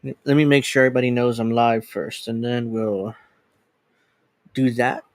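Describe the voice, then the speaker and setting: A man's voice: mid-range voice, steady tone, normal speed, dry recording, studio quality. A man in his late thirties hosting a casual livestream, rambling and correcting himself as he chats into a desk microphone. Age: 30s. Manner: rambling, self-correcting, chatty. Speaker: livestream host. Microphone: desk USB microphone.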